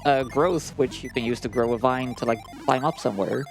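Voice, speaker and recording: A man adds relaxed, conversational remarks as a gaming co-commentator, feeling his way through sentences with lots of "like". His voice is mid-pitched, and game music plays faintly behind him. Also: fairly quick, vocal fry, low-quality recording. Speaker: co-commentator at a speedrun. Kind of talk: casual gameplay commentary. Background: video game music.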